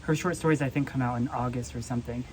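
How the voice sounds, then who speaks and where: A woman's voice: very deep voice, fairly even intonation, quick pace, darkish tone, slight background noise. A woman co-hosting a book vlog, chatting casually as she reads questions and gives her answers. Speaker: book vlogger co-hosting a Q&A tag. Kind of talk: casual chat.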